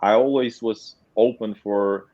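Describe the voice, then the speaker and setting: A man from Poland with a technical background, speaking as a webinar panel guest in a halting way, often repeating words. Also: lowish voice, steady tone, mellow, dry recording. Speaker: panel guest, tech company leader. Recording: dull, dry sound.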